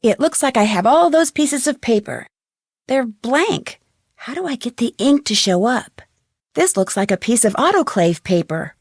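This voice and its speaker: A woman playing a plucky, curious young detective in a video game, thinking aloud with clear diction. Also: on the high side, very animated, normal speed, no echo, studio quality. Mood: deducing. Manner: inquisitive, clearly enunciated musing.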